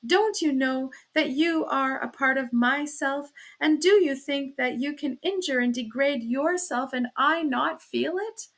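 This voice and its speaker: A woman's voice: high-pitched, unhurried, few pauses, a bit bright, voice pushed up. A woman voicing an anguished but firm young wife and mother in an audiobook, pleading and emphatic. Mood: anguished, earnest.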